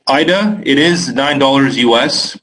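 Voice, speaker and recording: A man's voice: medium pitch, measured pace, a bit bright, a little hiss or hum, rough recording. A young man from Canada with a Canadian accent hosting a live trading webinar, reading out viewer questions by name and answering them casually with filler words.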